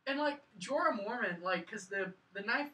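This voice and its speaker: A woman co-hosting a film review podcast, casually recounting scenes in an animated way, peppering sentences with "like". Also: on the high side, normal speed. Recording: roomy, a bit far from mic.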